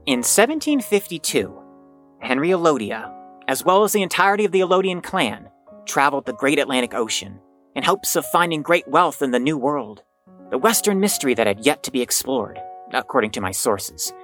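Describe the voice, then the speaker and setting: An actor playing a pompous, proud young heir in an audio drama, reciting family history grandly with dramatic pauses. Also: mid-range voice, big pitch swings, fairly bright tone. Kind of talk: theatrical scripted monologue, audio drama. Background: music.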